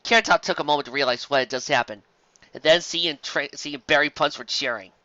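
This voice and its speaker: A reader reading a pony fan story aloud with expressive storytelling. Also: mid-range voice, fast talker, no echo, muffled recording.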